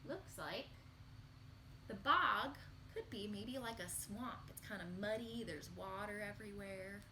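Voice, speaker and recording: A woman reading a picture book aloud to young children in an expressive, sing-song storytelling manner. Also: mid-range voice, unhurried, background noise.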